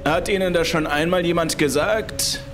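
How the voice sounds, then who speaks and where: Man acting a tense, higher-pitched stern boss. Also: moderate pace, lightly acted. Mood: grave, tense. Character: tense, nervous male boss issuing a grave warning. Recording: music behind the voice.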